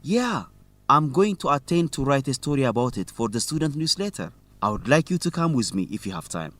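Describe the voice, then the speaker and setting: A man's voice: mid-range voice, very animated, a little fast, a bit bright. A man playing a keen student journalist in a radio drama for English learners. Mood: keen, persuasive. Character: Alamayu, keen male student newsletter writer and protester.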